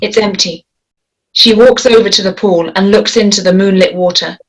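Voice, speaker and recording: A woman's voice: mid-range voice, moderate pace, husky, poor audio. A woman reading stage directions as scripted narration at a table read. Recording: faint noise and clicks.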